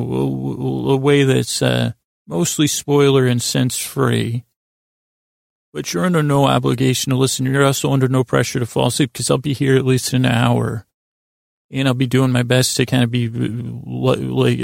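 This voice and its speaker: A man's voice: medium pitch, gravelly, no room sound, clean studio sound. A man hosting a bedtime podcast, rambling in a goofy, self-deprecating way, stammering and interrupting himself. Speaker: podcast host.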